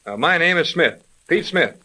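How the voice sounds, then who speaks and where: An actor playing a breezy, wisecracking young detective's assistant in a slangy, cocky 1930s radio drama style. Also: male voice, a little high, expressive, normal speed, bright, forceful tone, heavily acted. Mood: breezy, eager. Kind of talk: 1930s old-time radio drama acting.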